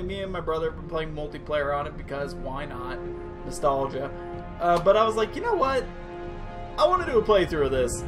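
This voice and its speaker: A man's voice: a little high, fairly bright tone. A young man hosting a game playthrough, chatting casually in an informal, rambling way. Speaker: gaming channel host. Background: faint game music.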